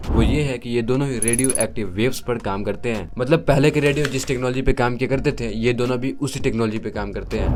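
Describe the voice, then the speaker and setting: Fast-talking Indian man explaining. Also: medium pitch, noisy background. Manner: conversational, explanatory, mixes English technical terms into speech. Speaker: host of an explainer video. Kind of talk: explainer narration addressed to viewers.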